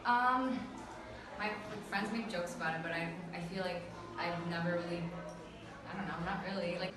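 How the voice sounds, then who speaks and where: A woman's voice: fairly low pitch, fairly even intonation, moderate pace. A woman, interviewed, answering hesitantly and unsure. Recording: very echoey, distant, loud noise.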